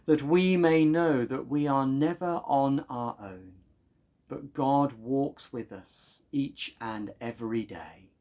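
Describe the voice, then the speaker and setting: A man's voice: medium pitch, takes it slowly, darkish tone. A middle-aged man speaking as a minister, introducing part of a church service from a room at home. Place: small room at home, indoors.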